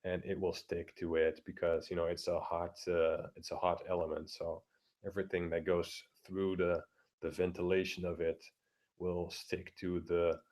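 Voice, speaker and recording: A man with a very low, deep voice holds his volume down so the neighbors won't hear through the walls. His pitch stays flat and monotone while he rants crudely and bluntly, swearing freely. He stumbles along with lots of fillers and restarts. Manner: keeps his voice down, talking quietly; halting, many fillers and restarts. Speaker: vlogger. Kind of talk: casual profane rant.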